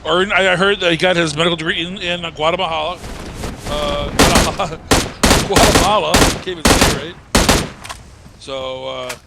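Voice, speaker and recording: A man casting a live esports match with a stuffed, nasal voice from being sick, pitched a little above the usual male range. He rambles and jokes, looping through fillers and restarts. Steady game noise runs loud behind him. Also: measured pace, creaky, pauses often. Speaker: esports caster. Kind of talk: live esports commentary with joking banter.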